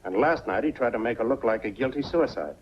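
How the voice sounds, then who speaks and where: A man's voice: medium pitch, animated, fast, a little hiss or hum, natural voice. An actor playing a protective, plain-spoken small-town policeman, tough but tender, in the earnest style of 1940s film noir. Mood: firm, scheming. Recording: muffled 1940s film soundtrack with music behind.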